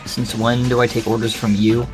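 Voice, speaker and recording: An actor playing a surly, apathetic teenage shut-in in an audio drama, sullen and drawling. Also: male voice, medium pitch, level tone, normal speed, over music, natural voice. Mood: defiant.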